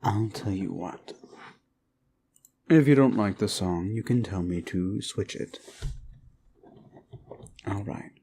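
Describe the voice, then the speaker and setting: A man's voice: on the low side, slow pace, long pauses, mellow, slight background noise. A man voicing a sly, seductive demon who hungers for suffering, in an intimate, teasing and possessive ASMR roleplay murmur. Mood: coaxing, hungry.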